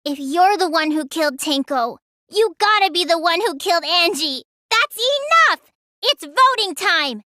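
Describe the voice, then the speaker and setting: A woman's voice: extremely high pitch, lively intonation, unhurried, sharp tone, no room sound, studio quality, heavily acted. A woman voicing a petite, stubborn teenage girl magician in an English anime game, with a languid, childlike, slightly pouty delivery. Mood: accusing.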